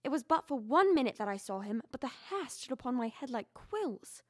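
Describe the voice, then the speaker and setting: A woman's voice: sits high, very animated, moderate pace, dry recording, studio quality. A young woman playing a loyal household servant in an audio drama, earnest, deferential and agitated. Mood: alarmed. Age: young adult. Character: frightened, insistent loyal female household servant.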